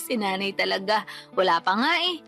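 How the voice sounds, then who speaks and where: An actress with a Filipino accent playing a sweet, whiny single daughter in a radio drama. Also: female voice, mid-range voice, expressive, a little slow, gravelly, pushed and bright, exaggerated voice. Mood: mock annoyance. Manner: respectful, plaintive, protesting when teased. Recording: music bed under the voice.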